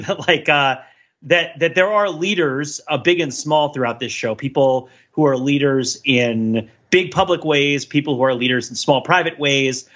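A man co-hosting a casual pop culture discussion, talking in a halting, digressive way and often correcting himself. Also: on the high side, fairly bright tone, dull audio. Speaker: podcast co-host.

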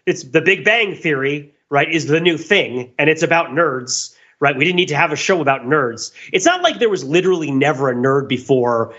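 A man's voice: fairly high pitch, lively intonation, normal speed, few pauses, sharp tone, muffled recording. A man co-hosting a podcast, talking in a casual, analytical, discursive way and often checking in with a tag question. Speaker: podcast co-host.

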